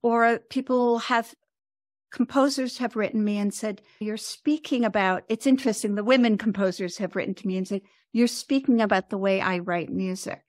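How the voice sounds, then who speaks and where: A seventy-year-old woman with an American accent gives reflective interview answers. She phrases things thoughtfully and haltingly, at a middling pitch. The sound is dry, with no room echo. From United States. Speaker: podcast guest, composer and author.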